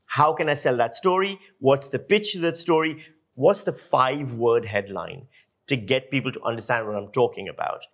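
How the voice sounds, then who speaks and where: A man's voice: medium pitch, moderate pace, a bit bright. Male lecturer and audio journalist from Pakistan. Informal teaching, emphatic and explanatory, asks rhetorical questions. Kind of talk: informal instructional talk.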